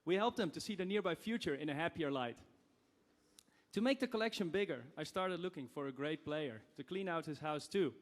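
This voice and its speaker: Man from the Netherlands, rather high voice, earnest recited rhymes, long hall reverb. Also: animated, brisk. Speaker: fan reading a poem. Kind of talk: reading a rhyming poem aloud. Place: event hall.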